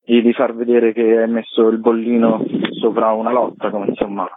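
A man's voice: lowish voice, completely flat delivery, moderate pace. A man speaking off the cuff as an interview guest, with an opinionated, emphatic delivery. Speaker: guest, performing arts activist. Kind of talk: spontaneous interview talk. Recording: narrow phone line, roomy echo.

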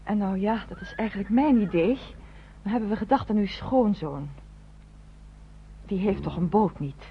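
A female actor plays an earnest woman in a radio play. Her medium-pitched voice is polite, tactful and persuasive. The old recording is muffled and faintly noisy. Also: expressive, moderate pace, close to an everyday voice. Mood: polite, explaining. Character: earnest, polite woman pleading for refugees' passage.

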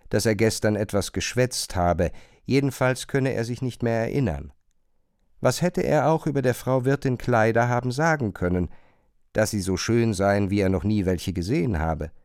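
A man narrating a literary radio play in a measured, precise way. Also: medium pitch, a little mellow, smooth, dry recording, studio quality.

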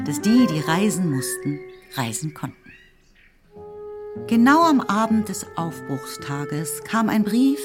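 Low-voiced woman narrating a literary radio drama. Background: music.

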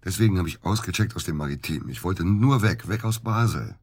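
A man acting in a German radio drama as an evasive, dejected doctor under suspicion, husky and halting. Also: deep voice, expressive, no room sound, clean studio sound, full character voice. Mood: dejected, defensive. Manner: halting, hesitant, defensive.

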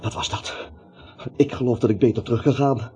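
A man's voice: medium pitch, steady tone, fairly quick, darkish tone, lightly acted. A male actor playing an anxious, timid little man in a radio play, jittery, rambling and stammering. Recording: dull, slightly noisy radio recording.

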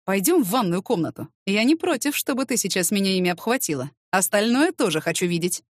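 A woman's voice: medium pitch, expressive, a little fast, no echo, clean studio sound, close to an everyday voice. A woman voicing a confident, cheeky male boss in an audiobook, with a teasing, seductive manner. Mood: flirtatious.

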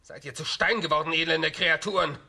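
Shrill, wicked grand duke. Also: male voice, brisk, creaky, bright, forceful tone, exaggerated voice. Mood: furious, incredulous. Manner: imperious, scolding. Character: wicked haughty grand duke, furious villain. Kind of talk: children's radio drama acting.

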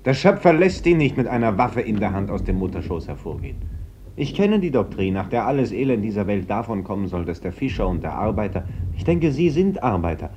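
An earnest priest in a radio drama. His low voice is formal and measured, preaching yet guarded. Faint noise runs through the old recording. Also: sing-song, a little mellow, muffled recording. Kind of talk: German radio play acting.

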